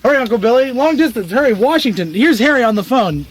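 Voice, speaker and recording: A man's voice: very high voice, lively intonation, fast, breathy edge, heavily acted. A man playing an eager, helpful small-town clerk in a comic radio play.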